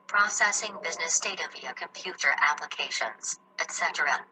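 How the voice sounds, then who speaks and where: Woman narrator, explainer video, low voice, very bright sharp tone, even delivery. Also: a little hiss or hum, poor audio. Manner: even, reading out definitions.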